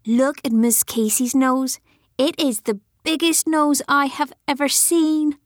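Little schoolgirl, frank and childlike, high voice, audiobook letters. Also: fairly even intonation, slow, no echo, clean studio sound. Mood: cheeky. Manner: childlike, plain short sentences. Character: outspoken little schoolgirl writing frank notes to friend.

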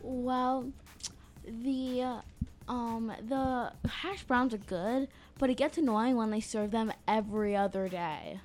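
Opinionated girl camper, high voice. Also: takes it slowly, a little mellow, faint music behind. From Long Island. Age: child. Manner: opinionated, emphatic. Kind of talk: casual chat.

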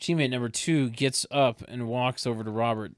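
A man's voice: mid-range voice, normal speed. A man narrating a table read, reading stage directions and adding sarcastic comic asides in a mock-announcing way.

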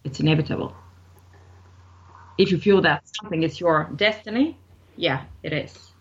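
Bulgarian-accented woman, halting speech. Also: deep voice, a little hiss or hum. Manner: halting, repeats words while searching for English phrasing. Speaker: singer, interview guest. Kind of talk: casual interview answers. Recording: dull lo-fi audio.

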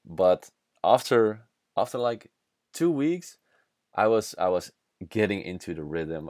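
Young Dutch-accented male host, lower register, candid storytelling, dry booth sound. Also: darkish tone, dry recording. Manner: candid, swears, frequent restarts and fillers. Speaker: podcast host. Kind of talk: casual storytelling monologue.

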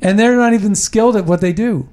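Rambling, emphatic man, slightly high voice. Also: fast, a little mellow. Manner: rambling, emphatic, with frequent restarts. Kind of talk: casual political talk.

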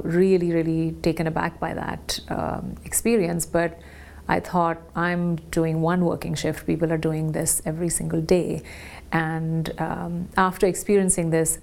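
A woman's voice: on the low side, creaky, a little mellow. A woman from England who works in the health service, giving a reflective personal account with frequent restarts and hesitations. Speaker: health service staff member.

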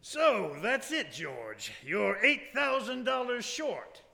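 A man's voice: extremely high pitch, wildly swooping pitch, takes it slowly, vocal fry, bright, forceful tone, big-room sound, exaggerated voice. A man playing a hard, sneering old banker in a live radio play. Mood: cold, sneering.

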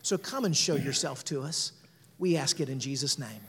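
Earnest pastor in a reverberant hall. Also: male voice, mid-range voice, normal speed, breathy edge. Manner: warm, earnest, many fillers. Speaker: pastor introducing a guest speaker. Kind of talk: church introduction and spoken prayer. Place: large church room.

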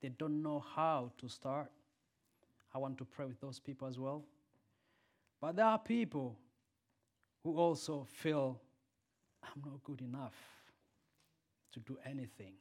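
A man preaching a sermon and leading a prayer, a native Swahili speaker who swings from loud emphatic peaks to soft quiet lines. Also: medium pitch, a little slow, pauses often.

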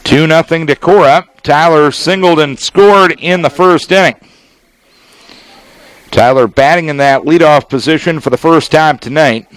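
An American man works as a radio baseball announcer. His voice sits a little high for a man, and he delivers live play-by-play in measured, descriptive phrases, leaving space between them. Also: fairly bright tone, no room sound, rough recording. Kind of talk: live sports play-by-play commentary.

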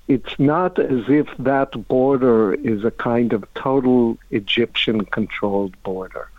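A man with an Israeli accent, a professor answering questions at a measured, explanatory pace over the phone. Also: mid-range voice, no room sound. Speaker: guest professor. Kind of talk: phone-in interview. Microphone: telephone line. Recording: tinny phone line.

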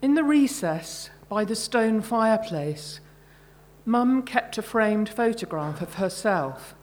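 A middle-aged woman, an author reading her own short fiction at a live event, measured and carefully phrased. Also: fairly high pitch, animated.

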